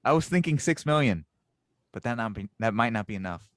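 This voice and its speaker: A man acts as a teasing, good-hearted inventor in an amateur space audio drama. His voice sits on the low side, with an earnest, playful delivery. The sound is dry, with little room to it. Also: lively intonation, a little fast, no echo, natural voice. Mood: playful, upbeat. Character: warm, teasing male inventor, reunited with an old friend. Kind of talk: fan audio drama table read.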